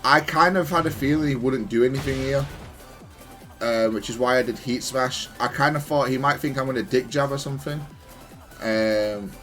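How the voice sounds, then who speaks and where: A man's voice: medium pitch, normal speed, fairly bright tone, faint music behind. A man giving off-the-cuff commentary on his own fighting game replays, explaining things in a hesitant, stop-start way. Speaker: gamer analysing own matches.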